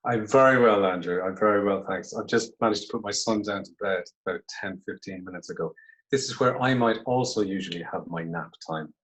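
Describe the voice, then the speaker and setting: A man in his forties from Cork, his Irish accent worn soft by years abroad. He talks low and easygoing, musing aloud with frequent little asides as he looks back on his career. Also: fairly quick, rough recording. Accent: Irish, softened by years in London. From Ireland, Cork. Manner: reflective, easygoing, many "I suppose" asides. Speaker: actor, guest. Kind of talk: casual interview over video call.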